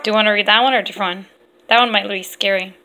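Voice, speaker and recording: A woman, a mother, reading aloud and chatting with young children in an expressive, encouraging way and simple phrasing. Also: mid-range voice, very fast, rough recording. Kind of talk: read-aloud storytelling mixed with casual chat with children.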